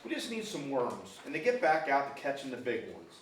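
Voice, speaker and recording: A man's voice: medium pitch, wildly swooping pitch, fairly quick, slight background noise. A man playing a teasing American fisherman at a comedic table read. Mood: casual teasing. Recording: echoey room, far from mic.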